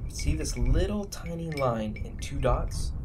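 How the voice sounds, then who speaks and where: A man's voice: fairly high pitch, lively intonation, unhurried, darkish tone. A man playing an adventurous, earnest young crewman in a science fiction audio drama, talking in a casual way. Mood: confident, storytelling. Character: adventurous young spaceship crewman, earnest persuader with a haunted past. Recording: music playing under the dialogue.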